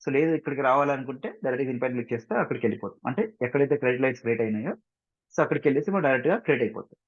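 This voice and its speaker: A man with a Telugu Indian accent speaks very quickly in a dull, lo-fi recording. He sounds like an instructor walking through software informally, dropping English technical terms into his speech and pausing for brief check-in questions. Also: medium pitch, slightly rough. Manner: often checks understanding with short tag questions. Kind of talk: informal screen-share tutorial.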